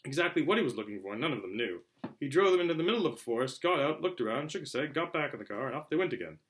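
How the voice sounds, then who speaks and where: A man reading a storybook aloud as a narrator, in an expressive, theatrical style. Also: mid-range voice, rapid-fire, slight background noise. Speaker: audiobook reader.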